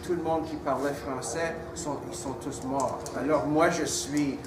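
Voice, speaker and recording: A man's voice: fairly high pitch, fairly even intonation, measured pace. An older man who teaches French, talking in an oral history interview, often restarting phrases as he searches for words. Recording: distant, roomy, with loud background noise.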